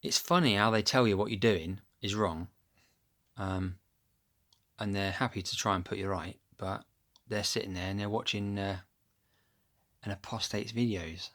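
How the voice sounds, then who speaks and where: Low-voiced man rambling to camera. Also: fairly even intonation, normal speed, a bit bright. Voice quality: low, deep voice. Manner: rambling, loses his thread with many ums. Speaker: vlogger. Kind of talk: casual chat to camera. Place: at home, upstairs room. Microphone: phone camera held close.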